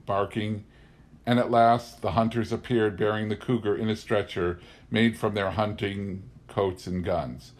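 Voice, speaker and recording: A man reading a memoir novel aloud in an expressive, scripted storytelling style. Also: fairly low pitch. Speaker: reader of an audiobook.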